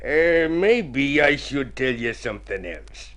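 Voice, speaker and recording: An actor playing a crooked, scheming consul in a golden age radio drama, theatrical, wheedling and pleased with himself. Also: male voice, mid-range voice, sing-song, takes it slowly, a bit bright. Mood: quietly menacing. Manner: wheedling, theatrical, self-satisfied, chuckling schemer. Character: scheming, crooked male consul, smooth-talking and gleeful.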